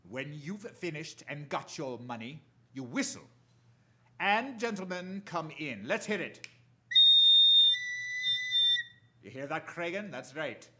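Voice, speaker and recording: An actor playing a commanding, menacing crime boss, imperious and deliberate in a theatrical radio drama style. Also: male voice, fairly high pitch, lively intonation, heavy background noise. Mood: coldly menacing.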